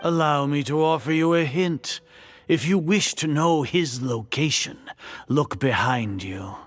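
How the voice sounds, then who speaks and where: An actor playing a pompous, politely menacing older grand sage in a video game, husky, formal and condescending. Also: male voice, on the high side, a little slow, faint music behind. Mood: smug menace.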